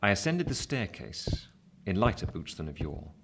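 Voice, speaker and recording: A man acting a reflective gentleman who narrates his own youth in a radio drama, measured and literary. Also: deep voice, sing-song, vocal fry, very noisy, exaggerated voice. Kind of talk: scripted first-person narration, radio drama.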